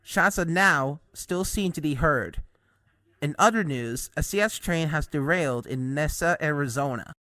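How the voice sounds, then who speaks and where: A man's voice: fairly high pitch, animated, measured pace, pushed and bright, no room sound, clean studio sound. A man playing a morning TV news anchor in an amateur drama, with a formal announcer-like delivery. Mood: serious. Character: male morning TV news anchor breaking grave news.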